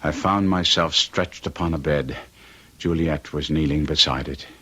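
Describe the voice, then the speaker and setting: An actor playing a tormented young gentleman in an old-time radio drama, with intense, theatrical and formal archaic delivery. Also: very deep voice, unhurried, slightly rough, full character voice. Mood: solemn, haunted. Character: Guido, tormented young gentleman recounting a duel with a monster.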